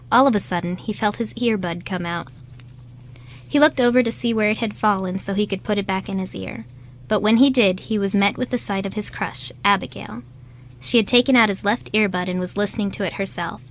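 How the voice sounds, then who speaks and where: A woman's voice: medium pitch, a little hiss or hum. Woman narrating a scripted story. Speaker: narrator of a radio play.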